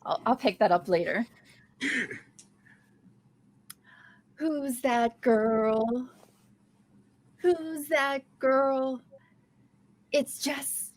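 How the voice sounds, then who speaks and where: A woman's voice: high-pitched, drawn-out words, long pauses, low-quality recording. A woman reading an awkward, earnest young sitcom heroine at a comedy table read. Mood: playful. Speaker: guest reading the lead part at a table read.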